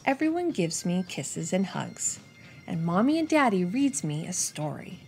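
A woman's voice: lowish voice, soft background music. A young woman host reading a picture book aloud expressively for young children. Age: young adult. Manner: animated, deliberate delivery for young children. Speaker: children's storytime reader and host.

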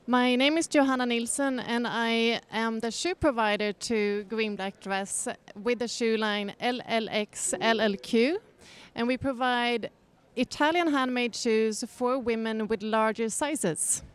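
A woman introducing her shoe line at a press junket in a measured, plain way. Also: on the high side, clean tone. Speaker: shoe brand founder.

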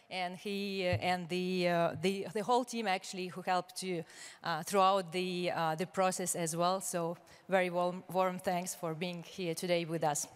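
A woman's voice: medium pitch, clean tone. A young woman moderating a conference forum, speaking formally with frequent hesitations into a handheld microphone. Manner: formal, hesitant with frequent fillers, reading from notes. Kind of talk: conference opening remarks. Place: large conference hall. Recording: hall reverb, resonant voice.

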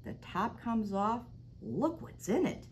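A middle-aged woman reading a picture book aloud to children as a library storytime host, animated and sing-song, now and then dropping to a stage whisper. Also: fairly high pitch, slow, slight background noise. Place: small library room, indoors. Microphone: lapel mic.

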